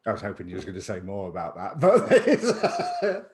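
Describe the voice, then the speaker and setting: A man's voice: low voice, huge pitch swings, moderate pace, a little mellow. A man hosting an interview, asking long, winding, reflective questions in a chatty way. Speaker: host. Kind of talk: conversational interview.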